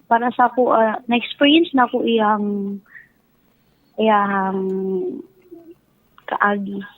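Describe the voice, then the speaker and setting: A woman's voice: medium pitch, fairly even intonation, extremely slow, dry recording. A Filipino woman with a Cebuano accent calling in by phone, giving her opinions casually and deferentially. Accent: Filipino, Cebuano-speaking. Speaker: phone-in caller. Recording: dry, muffled phone call over faint music.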